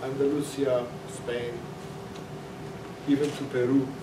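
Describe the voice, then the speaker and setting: A man's voice: medium pitch, flat delivery, slow. A man moderating a panel, introducing guests with stumbling, repeated words. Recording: distant, echoey, very noisy.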